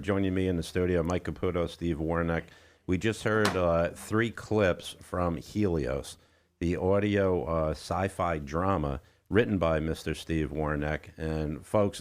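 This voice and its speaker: A man hosting a radio show from a studio, chatting with enthusiasm and addressing listeners in an emphatic way. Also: deep voice. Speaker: radio host. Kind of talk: enthusiastic interview chat.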